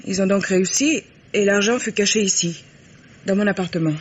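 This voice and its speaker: An actress playing a frightened, defensive young woman accomplice under questioning, halting and insistent, in old French radio mystery style. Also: mid-range voice, breathy edge, natural voice. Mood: anxious confession. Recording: muffled, hissy old radio drama recording.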